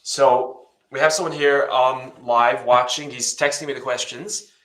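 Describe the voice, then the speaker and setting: Bright-voiced man in a roomy space. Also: mid-range voice, fairly even intonation, a little slow. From New York. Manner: relays viewer messages in a loose, filler-heavy way. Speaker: co-host reading viewer questions. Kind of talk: casual live chat.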